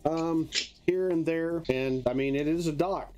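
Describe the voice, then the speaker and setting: A man running a tabletop game as game master, narrating off the cuff in a halting, conversational way. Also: a little high, warm tone, smooth, lo-fi sound, faint music behind.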